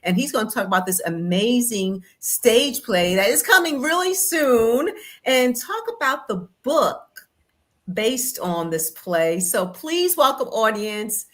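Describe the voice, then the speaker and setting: A woman's voice: on the high side, lively intonation, slow. American woman co-hosting a show. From US East Coast. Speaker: show co-host. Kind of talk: upbeat show introduction and guest introduction.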